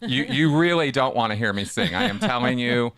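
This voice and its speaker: Bright, crisp-voiced male podcast host. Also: mid-range voice, fairly quick. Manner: relaxed, rambling, many restarts. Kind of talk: casual live call-in chat.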